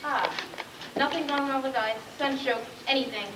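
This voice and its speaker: A woman's voice: high voice, unhurried. A woman playing a demanding, short-tempered storybook queen in a stage comedy, haughty and curt. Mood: curt, imperious. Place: school stage, live play performance. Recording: distant, noisy, echoey room recording of play.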